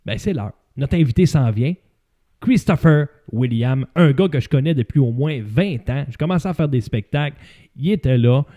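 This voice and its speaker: A man with a Québécois accent hosting a casual comedy show, telling stories in an animated way with frequent laughter. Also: medium pitch, moderate pace. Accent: Québécois French. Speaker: host. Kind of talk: casual comedy podcast chat.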